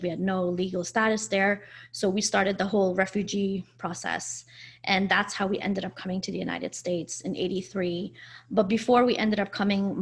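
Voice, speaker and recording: A woman in her forties, born in Afghanistan and raised speaking Farsi first, recounts her family's past in a candid, conversational way. Her mid-range voice has a gravelly texture, and her talk is full of fillers and self-corrections. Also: medium pitch. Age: 40s. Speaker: guest sharing her life story. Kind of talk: personal storytelling interview.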